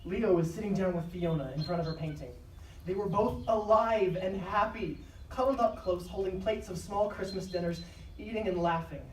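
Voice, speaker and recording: A woman's voice: medium pitch, normal speed. A woman narrating a scripted drama live. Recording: echoey live stage, distant, faint noise.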